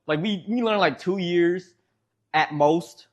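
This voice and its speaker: A man's voice: high-pitched, slow pace. A man with a Midwestern American accent streaming from home, rambling casually to his chat and laughing often.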